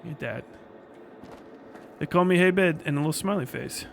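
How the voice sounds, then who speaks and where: A man's voice: fairly high pitch, normal speed. A man livestreaming casually while gaming, chatting in a rambling, profane, slangy way. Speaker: livestreamer reacting to a video. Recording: clearly noisy livestream audio with clicking.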